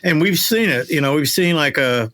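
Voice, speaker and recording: A middle-aged man hosting a casual interview, talking in a reflective, halting, conversational way. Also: on the high side, animated, a bit bright. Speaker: interview host, voiceover director.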